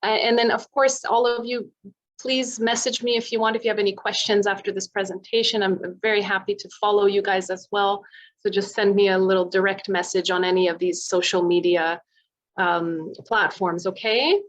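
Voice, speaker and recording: A woman's voice: mid-range voice, flat delivery, normal speed, rough recording. A woman from Poland giving an informal online presentation and answering questions, talking in a chatty, explanatory way as a singer and vocal coach. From born in Poland. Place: online video meeting.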